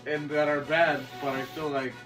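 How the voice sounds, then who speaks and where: A high-pitched male podcast co-host talks in a loose, slangy way, swearing freely and laughing often. He sounds a little away from the microphone, with music clearly playing behind him. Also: little pitch movement, moderate pace. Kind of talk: casual chat about movies and video games. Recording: distant mic with music behind.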